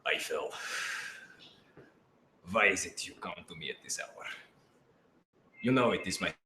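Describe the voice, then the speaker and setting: A man puts on a dark, scheming scientist for a radio drama. The voice sits low, with stiff and formal wording and no humor at all. It echoes in the room over faint noise. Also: expressive, measured pace, exaggerated voice. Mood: brooding, annoyed. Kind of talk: improvised radio drama dialogue.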